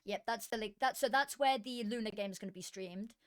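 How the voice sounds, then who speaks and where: A woman's voice: mid-range voice, a little fast. A woman streaming esports, chatting casually while fixing her setup, her voice worn from long hours of streaming and often trailing into muttering to herself. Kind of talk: casual live-stream chat while setting up. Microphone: desk microphone.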